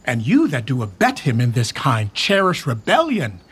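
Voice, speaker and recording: An actor playing a weary royal uncle in a Shakespeare radio drama, speaking verse in a measured, declamatory way. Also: male voice, medium pitch, huge pitch swings, fairly bright tone, husky, exaggerated voice. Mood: stern. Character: aging royal uncle, weary and torn, reluctantly neutral. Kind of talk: Shakespearean stage acting for radio.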